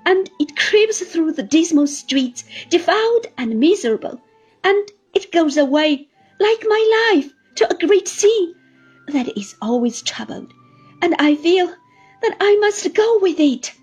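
Woman reading as a despairing young woman, sobbing, high-pitched and swooping, light music behind. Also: expressive, a little slow. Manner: sobbing, anguished, high and loud.

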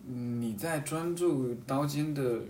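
A man chatting casually and reflectively as a guest, thinking aloud in a halting way and often repeating his phrases. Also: mid-range voice, a little mellow, distant mic. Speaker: podcast guest talking about a hobby of seal carving. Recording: roomy echo, off-mic, faint background noise.

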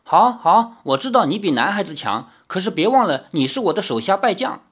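一个男人在讲故事时扮演一个认真、护着同伴的年轻男侠客。. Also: male voice, high-pitched, sing-song, brisk, fairly bright tone, husky, voice pushed up, heavily acted. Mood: earnest. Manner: earnest, protective. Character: earnest young male swordsman, protective.

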